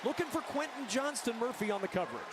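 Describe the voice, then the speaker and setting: A man's voice: very high-pitched, expressive, fast talker. A man giving football play-by-play in broadcast commentary style. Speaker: play-by-play announcer. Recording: noisy game broadcast audio.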